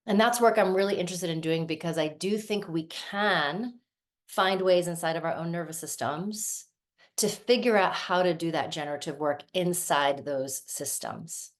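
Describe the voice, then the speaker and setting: A woman's voice: lowish voice, normal speed. A woman in her forties, originally from Lebanon and raised in the United States, giving a thoughtful workshop talk as a somatic practitioner and often checking in with "right?".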